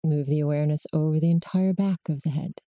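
A woman guiding a body scan meditation in slow, measured short prompts with long pauses between them. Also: sits low, fairly even intonation, warm tone, dry recording. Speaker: meditation guide.

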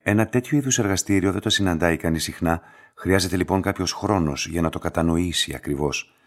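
An actor playing a composed, courteous and enigmatic laboratory director in a radio play, speaking in a measured, formal way. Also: male voice, sits low, close to an everyday voice. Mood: calm, explaining. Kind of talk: scripted radio drama dialogue.

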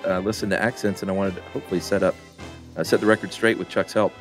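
A middle-aged man co-hosting a podcast, reading listener mail in a rambling, relaxed way with lots of fillers. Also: sits low, fast talker, soft background music. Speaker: podcast co-host.

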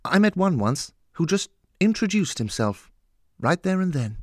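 A man voicing an aging gentleman mentor, kindly, courteous and wry, in audiobook dialogue. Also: high voice, very animated, measured pace, dry recording, clean studio sound, voice pushed up, full character voice. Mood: flippant. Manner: flippant, courteous, composed.

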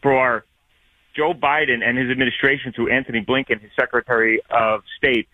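A man's voice: medium pitch, fairly even intonation, no room sound. A man with a New York accent calls in over a thin, tinny phone line. He speaks earnestly, picking his words with many fillers. The sound is dry and boxed in. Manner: earnest, wordy, halting with fillers. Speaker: caller. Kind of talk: call-in opinion.

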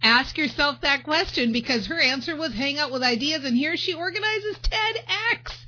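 Woman host, enthusiastic, coaching tone, slightly high voice, dull lo-fi recording. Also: lively intonation, normal speed. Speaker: interview host. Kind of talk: podcast interview.

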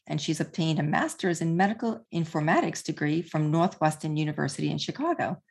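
A woman in her fifties hosts an interview in a chatty, easygoing way, laughing often. Her voice is a bit low and slightly husky. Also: brisk, a little mellow. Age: 50s. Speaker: podcast host. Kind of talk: interview conversation.

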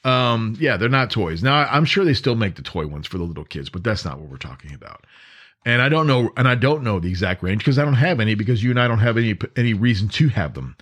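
A man with a somewhat low-pitched voice talks as a podcast host. He explains things conversationally, like practical instruction, and leans on repetition and short asides to the listener to make his points land. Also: sing-song, fast, a bit bright. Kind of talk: conversational podcast monologue on emergency preparedness.

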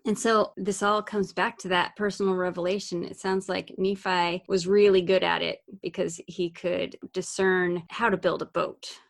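A woman hosting a casual faith discussion, talking in an animated way and asking questions. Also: on the low side, moderate pace, gravelly, hardly any pauses.

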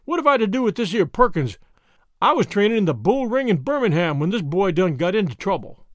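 A man voicing a hulking, menacing bruiser who blusters in rough, ungrammatical slang. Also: high-pitched, sing-song, brisk, no room sound, clean studio sound. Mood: cowering.

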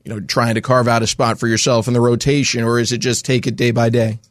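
A man's voice: medium pitch, flat delivery, fast, no echo. Male sports broadcaster, mid-range voice, polished hosting, close dry recording. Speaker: podcast host, sports broadcaster.

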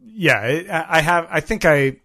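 A man's voice: on the high side, moderate pace, pushed and bright. A man co-hosting a podcast in a casual, joking and self-deprecating chat style. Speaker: podcast co-host.

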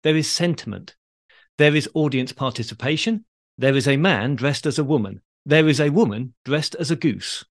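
A man's voice: medium pitch, moderate pace. A man with a British accent hosting a podcast, explaining things in a conversational way. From Britain. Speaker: podcast host.

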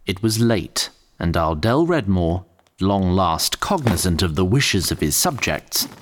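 Low-voiced man narrating with lively pitch. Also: a little slow. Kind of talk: scripted storybook narration.

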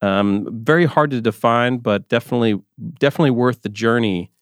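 A man's voice: mid-range voice, brisk, no room sound, clean studio sound. A man co-hosting a music podcast, chatting casually and rambling with lots of filler words. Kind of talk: casual chat.

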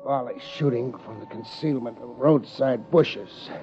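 An actor playing a tough, unflappable American private investigator with a terse, hard-boiled manner in a 1950s radio drama, on a muffled, noisy old recording. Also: male voice, mid-range voice, measured pace, loud music behind the voice, lightly acted. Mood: grave narration. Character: tough, unflappable male private investigator narrating his case.

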